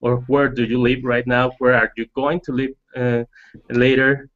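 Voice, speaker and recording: A man's voice: medium pitch, little pitch movement, normal speed, fairly bright tone. A man hosting an online talk show, politely welcoming guests and stacking several questions in a row. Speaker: host. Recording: muffled lo-fi call audio.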